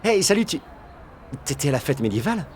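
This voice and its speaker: An actor playing a shy, gentle teenage boy in a French audio drama, speaking casually and hesitantly. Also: a little high, very animated, fairly quick, slight background noise. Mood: shy, friendly. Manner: hesitant, soft-spoken casual talk.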